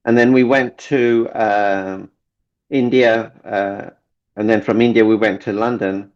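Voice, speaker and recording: A man's voice: fairly low pitch, steady tone, slow pace, darkish tone. Earnest man, dull lo-fi audio. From Kenya. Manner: earnest, repeats key phrases for emphasis. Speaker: relationship coach, guest. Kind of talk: motivational interview talk. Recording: dull lo-fi sound.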